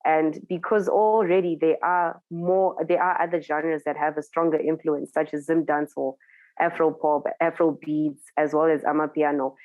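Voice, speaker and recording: A woman's voice: sits low, darkish tone, no echo, rough recording. A woman DJ with a Zimbabwean accent, talking casually in an interview, thoughtful and searching for words. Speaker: DJ guest.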